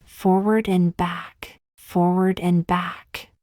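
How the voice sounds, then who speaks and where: A woman narrates a guided meditation in a calm, soothing manner. Her pitch sits a little low and she moves very slowly, leaving long pauses. The sound is dry, with no room echo. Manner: calm, unhurried, gently measured with long pauses.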